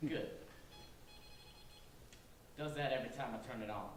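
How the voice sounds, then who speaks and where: A man's voice: medium pitch, a little slow, close to an everyday voice. Evasive male ghost hunter with an official cover, man at a staged reading; very reverberant, faint noise. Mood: guarded, official. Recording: very echoey room, distant mic, faint noise.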